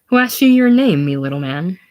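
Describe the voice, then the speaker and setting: A reader voicing a gruff old farmer who mocks and jeers loudly. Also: medium pitch, lively intonation, moderate pace. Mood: mocking. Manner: loud, teasing, contemptuous. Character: gruff old Irish farmer, mocking and jeering.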